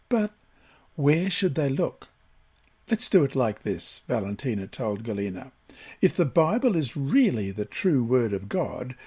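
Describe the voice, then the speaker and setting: A man from Australia reads a devotional study aloud. His voice sits at a middle pitch with a husky edge. He phrases deliberately, pausing between short groups of words as he works through the prepared text. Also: darkish tone. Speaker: Bible lesson reader. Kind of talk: scripted devotional reading.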